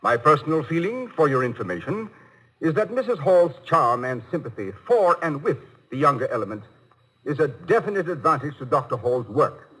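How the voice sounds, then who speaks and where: A college board chairman from vintage radio comedy, formal and exasperated. His husky, bright voice rises and falls freely as he keeps order with courteous, precise phrasing. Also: fairly high pitch, sing-song, normal speed, exaggerated voice, rough recording. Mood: measured, formal. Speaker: actor. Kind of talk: 1950s radio comedy acting. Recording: vintage 1950 radio broadcast.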